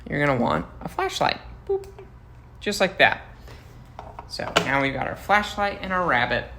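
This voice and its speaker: A man's voice: on the high side, wildly swooping pitch, moderate pace, gravelly, background noise. An American man, a first-grade teacher, gives a casual, playful craft demonstration for young children, talking to them as he works.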